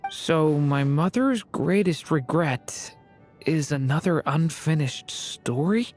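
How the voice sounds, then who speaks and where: A woman voicing a gentle, polite, slightly shy and earnest young boy in a video game, with a soft, hesitant manner. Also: low-pitched, expressive, takes it slowly. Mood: solemn, wondering. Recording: music behind the voice.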